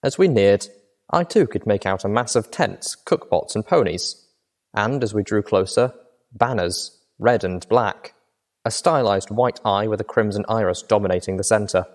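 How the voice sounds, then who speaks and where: A man narrating a fan fiction story in first person at a measured, steady pace. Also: on the low side. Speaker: audiobook reader.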